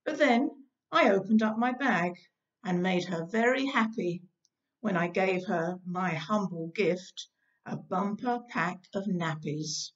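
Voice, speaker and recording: A woman's voice: medium pitch, takes it slowly. A woman reading a ballad poem aloud on a distant, dull recording. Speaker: church group leader reading aloud. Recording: distant, dull-sounding microphone.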